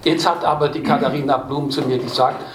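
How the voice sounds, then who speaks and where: A man's voice: mid-range voice, fairly even intonation, brisk, a little hiss or hum. A man from Baden reading his own stories to a live audience, chatting between readings with self-mocking, chuckling humor. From Baden, Germany. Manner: self-deprecating, chuckling storyteller. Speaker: author reading from his book. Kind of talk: live book reading with anecdotes. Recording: distant mic in an echoey room.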